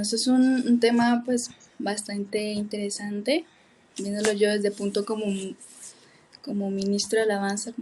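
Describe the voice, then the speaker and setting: A woman from Colombia, a worship minister speaking as a podcast guest in a measured, explanatory way. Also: on the high side, darkish tone, a little hiss or hum, poor audio. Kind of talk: church discussion.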